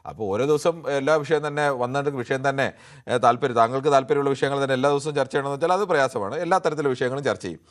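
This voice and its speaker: A man's voice: mid-range voice, very fast. A man hosting a live discussion show, reading out viewer comments and answering them argumentatively. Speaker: TV phone-in discussion host.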